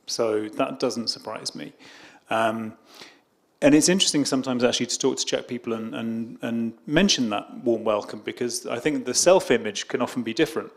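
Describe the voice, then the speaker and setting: A middle-aged British diplomat answering questions at a public event. He speaks at an ordinary middle pitch, hesitant and unpolished, circling back over his own words as he goes. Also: normal speed. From Britain. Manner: hesitant, restarts and repeats words.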